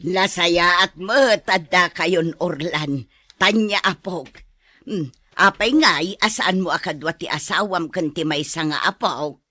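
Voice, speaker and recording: A woman's voice: fairly low pitch, measured pace, sharp tone, dry recording. An actress in a radio drama playing a fussing, affectionate elderly mother and grandmother. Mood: concerned.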